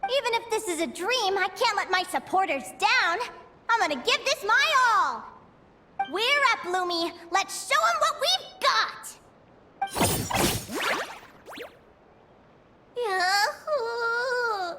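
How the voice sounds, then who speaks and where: A woman voicing a cheerful, impulsive young adventurer in a game, bubbly and quick to exclaim. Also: extremely high pitch, animated, bright, forceful tone, a little hiss or hum, exaggerated voice. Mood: eager. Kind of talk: game cutscene voice acting.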